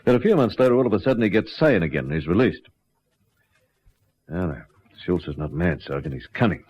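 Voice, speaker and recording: Deep, laconic policeman. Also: animated, breathy edge. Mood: wary, certain. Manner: measured, laconic. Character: wary, knowing male local country policeman. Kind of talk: radio drama acting. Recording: dull lo-fi radio recording.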